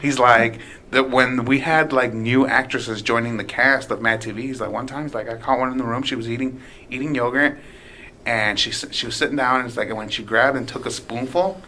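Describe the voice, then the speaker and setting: A man's voice: mid-range voice, steady tone, brisk, sharp tone, a little hiss or hum, poor audio. A man in his late twenties, a guest telling stories in a casual, profane, rambling way with many restarts. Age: late 20s. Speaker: podcast guest, aspiring comedian. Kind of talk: casual storytelling chat.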